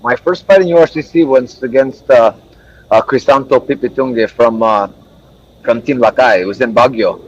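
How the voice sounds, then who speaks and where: A young man raised in Japan, an MMA fighter, chatting in a relaxed, friendly way with lots of fillers, sore from hard training. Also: medium pitch, normal speed. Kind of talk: casual interview over a call. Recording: dull lo-fi audio with faint noise.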